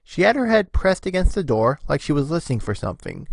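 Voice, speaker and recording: A man narrates a story from a script in a lively, widely varying voice. The sound is close and dry, with hardly any room to it. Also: fairly high pitch, fast talker, vocal fry, dry recording.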